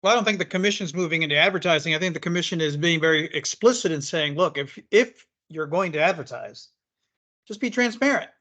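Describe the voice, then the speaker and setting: A man's voice: on the high side, fast, pushed and bright. Man thinking aloud in a meeting. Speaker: committee member. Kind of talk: committee meeting discussion.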